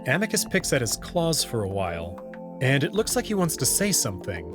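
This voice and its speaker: Young man narrating with lively pitch. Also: brisk, over music. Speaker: narrator and protagonist reader. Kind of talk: scripted first-person visual novel narration.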